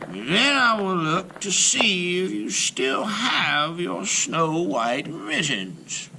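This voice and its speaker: A man voicing a caring old grandmother from a storybook, giving slow, careful warnings. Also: high-pitched, very animated, creaky, background noise, pitched above the performer's own voice, heavily acted. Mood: gently firm.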